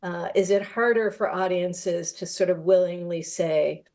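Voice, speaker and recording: A woman's voice: fairly low pitch, a little slow, darkish tone. A woman moderating a panel conversation, choosing her words carefully and somewhat tentatively as she frames her questions. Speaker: moderator, theater maker. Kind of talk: panel discussion, posing a question.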